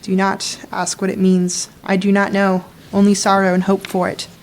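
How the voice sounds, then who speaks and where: A young woman plays a dead woman returned from the tomb to prophesy. She intones poetic radio-drama lines with sorrow and solemn reluctance, in a medium-pitched voice. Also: monotone, normal speed, soft background music, natural voice, poor audio. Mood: mournful, foreboding. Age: young adult. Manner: mournful, incantatory, solemn.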